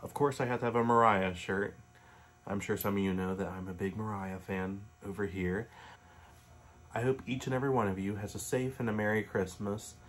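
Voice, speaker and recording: A man in his late twenties, sitting on a living room couch and reading a Christmas story aloud in a gentle, casual way. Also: fairly low pitch, fairly even intonation, fairly quick. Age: late 20s. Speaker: storybook reader. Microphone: webcam facing him at close range.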